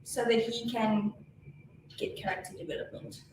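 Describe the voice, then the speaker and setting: A woman's voice: on the high side, level tone, normal speed, darkish tone, distant mic, slight background noise. A South African woman co-hosting a casual reaction chat, laid-back and joking. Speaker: co-host. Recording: very echoey room, away from mic.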